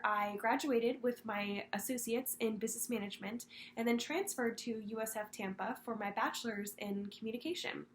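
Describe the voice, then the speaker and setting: A young woman from Florida with a congested voice from a cold, earnestly telling her story with halting, repeated words. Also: fairly high pitch, a little hiss or hum. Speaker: student candidate. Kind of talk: prepared personal video statement.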